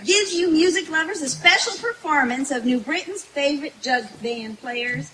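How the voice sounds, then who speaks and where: A woman's voice: sits high, normal speed, bright, forceful tone. A woman playing a folksy, jokey country hostess in a live old-time radio comedy. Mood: cheerful. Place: outdoor night stage in the woods. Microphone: stage microphone, filmed by distant camera. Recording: noisy outdoor stage recording from afar.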